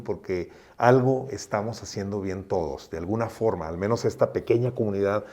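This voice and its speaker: A middle-aged man from Mexico with a low, mellow voice, speaking as a doctor in an interview. He talks earnestly and unhurriedly, often repeating words as he restarts his phrases. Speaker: guest doctor.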